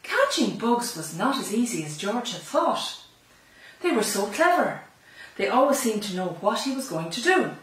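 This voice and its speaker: A woman's voice: a little high, big pitch swings, moderate pace, a bit bright. A middle-aged Irish woman reading a picture book aloud to young children, expressively and with chatty asides, in a library room. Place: small library room lined with bookshelves. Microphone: camera a few metres away. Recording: echoey room, slightly distant mic.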